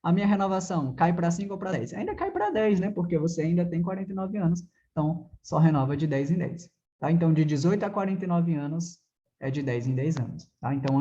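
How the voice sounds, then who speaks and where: A Brazilian man teaches in a conversational, didactic way, often asking a question and then answering it. His voice is mellow and soft-edged. The recording is a little dull and lo-fi, like a simple lesson capture. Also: a little high. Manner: didactic, asks and answers own questions, frequent check-in tags. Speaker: driving theory teacher. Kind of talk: conversational classroom lecture.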